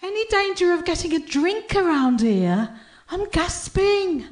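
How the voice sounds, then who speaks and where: Woman acting a high, drawling, flirty party guest. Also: sing-song, unhurried, darkish tone, dry recording, studio quality, heavily acted. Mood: brash, flirty. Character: brash, flirtatious, thirsty woman dinner guest. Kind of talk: comic radio advert acting.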